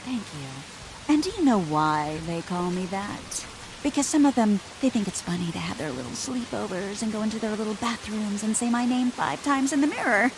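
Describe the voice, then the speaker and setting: A woman voicing a menacing, sadistic female killer in a video game, taunting and sardonic in a deliberate way. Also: medium pitch, animated, noisy background, close to an everyday voice. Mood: mocking menace.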